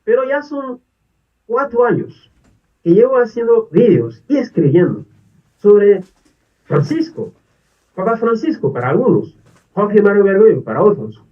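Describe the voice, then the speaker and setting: A man who is not a native Spanish speaker, talking as a priest in a video appeal. His voice sits high and moves a lot as he pleads earnestly and repeats his questions. It sounds dull and far from the mic. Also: very animated, unhurried, pauses often. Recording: distant dull lo-fi video audio.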